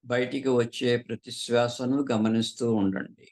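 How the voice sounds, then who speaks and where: Gravelly man guiding a meditation. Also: medium pitch. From southern India. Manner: calm, unhurried, with frequent pauses between short phrases. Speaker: meditation teacher. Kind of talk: guided meditation instruction.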